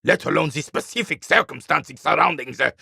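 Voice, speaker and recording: An actor playing a bitter, proud royalist war veteran in his eighties in a video game, with a rasping, weathered voice and a haughty, formal military way of talking. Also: on the high side, fairly quick, pushed and bright, no room sound, clean studio sound, exaggerated voice. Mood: bitter regret. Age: 80s. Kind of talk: video game character dialogue.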